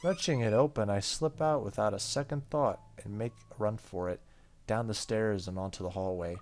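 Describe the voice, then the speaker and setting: A man's voice: on the low side, normal speed, darkish tone. A man playing a horror game, reading its story text aloud dramatically and commenting casually. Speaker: gaming YouTuber. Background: game music.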